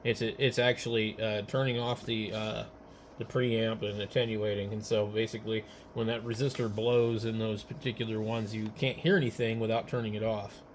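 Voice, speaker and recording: A man in a casual technical chat as a ham radio operator, speaking haltingly as he thinks aloud. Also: fairly low pitch, level tone, slight background noise.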